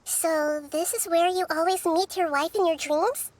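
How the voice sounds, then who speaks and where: A woman voicing a wise, composed child deity in a video game, gently curious and explaining things thoughtfully and articulately. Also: extremely high pitch, fairly even intonation, a little slow, slight background noise. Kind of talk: voice-acted game story dialogue.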